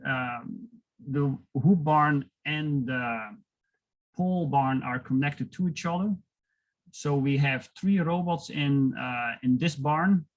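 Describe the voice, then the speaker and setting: A man's voice: on the high side, low-quality recording. A man with a Dutch accent, a dairy farmer from the Netherlands, giving a presentation over a video call with hesitations and fillers.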